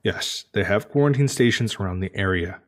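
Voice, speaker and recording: A man's voice: fairly low pitch, a little fast, lightly acted. A man acting an anxious, cautious former security spacefarer in a sci-fi audio drama, stammering and repeating himself. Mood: reluctant.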